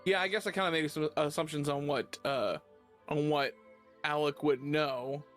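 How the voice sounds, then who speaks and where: A man's voice: a little high, fairly even intonation, normal speed, soft background music. A man playing a tabletop game, speaking hesitantly with many fillers as he declares his actions. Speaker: player. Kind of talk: casual tabletop play.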